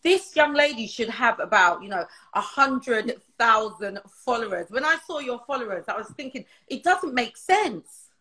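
Casting: effusive woman host, slightly high voice, echoey room, video call. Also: lively intonation, normal speed, bright, forceful tone. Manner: effusive, repeats words, lots of praise. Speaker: interview host. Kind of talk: casual interview over a video call.

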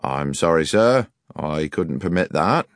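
A man's voice: a bass voice, animated, measured pace, dry recording, studio quality. A man voicing a smug, officious police sergeant who is pompous, wordy and grudgingly polite.

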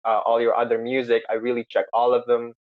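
A man hosting a podcast over a remote call, excited and chatty, with many fillers and restarts. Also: medium pitch, fairly quick. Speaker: podcast host. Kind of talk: casual chat. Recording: slightly dull lo-fi sound.

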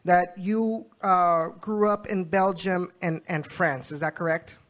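A man's voice: sits high, fairly even intonation, a little slow, a bit bright. A man hosting a soccer show, interviewing a guest in a conversational, somewhat hesitant and wordy way.

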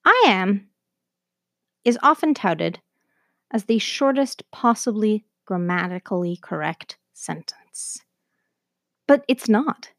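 A woman's voice: mid-range voice, animated, slow, pauses often, fairly bright tone, dry recording, clean studio sound. A woman with a Canadian accent hosting an educational podcast, narrating a script in an enthusiastic, conversational way. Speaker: host of an educational language podcast.

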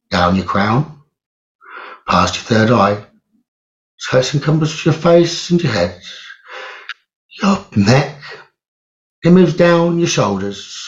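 A man leading a guided meditation in a soothing, measured way, leaving long pauses between phrases. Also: mid-range voice. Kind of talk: slow guided meditation narration. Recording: roomy echo, dull lo-fi sound.

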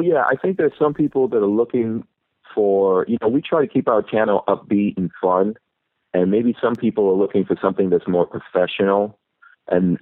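A man's voice: deep voice, dry recording. A man who grew up in New York City, a YouTuber guest chatting easygoing and reflective in an interview. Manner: easygoing, reflective, rambling with restarts. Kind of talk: casual interview chat. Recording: tinny phone line.

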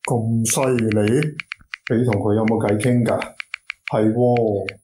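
A man's voice: on the low side, fairly even intonation, takes it slowly. A man acting a blunt, suspicious office schemer in a radio drama, speaking slangy Hong Kong Cantonese. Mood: blunt, probing. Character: blunt, suspicious man checking up on shady jobs. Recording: roomy echo with clear background noise.

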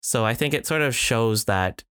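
A man's voice: mid-range voice, animated, normal speed, a bit bright, clean tone, no room sound, clean studio sound. A man in casual podcast chat, reflective, with many fillers and restarts. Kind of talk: casual conversation.

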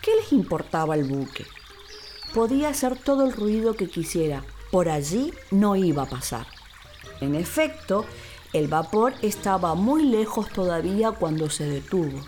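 A woman narrating a children's story in an expressive, deliberate, theatrical way. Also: on the low side, a little hiss or hum. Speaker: actress, storyteller.

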